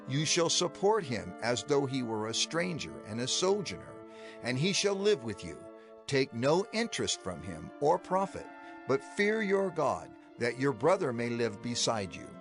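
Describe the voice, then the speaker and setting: A man narrating scripture from a script in a deliberate, declamatory way with clear phrasing. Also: medium pitch. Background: music.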